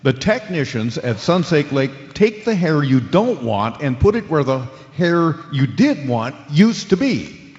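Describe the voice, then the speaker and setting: A man's voice: a little high, sing-song, unhurried, a little mellow. An actor playing an unflappable male sports talk radio host, moving between interview banter and polished ad-read patter. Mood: salesman-like, smooth. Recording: dull audio in a reverberant hall.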